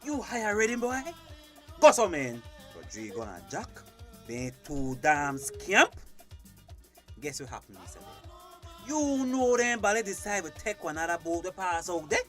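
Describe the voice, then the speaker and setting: An actor playing a bossy, aggrieved boat boss who grumbles and sweet-talks in a Belizean Creole accent in a radio drama. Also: male voice, high voice, unhurried, faint music behind, exaggerated voice. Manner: grumbling, animated.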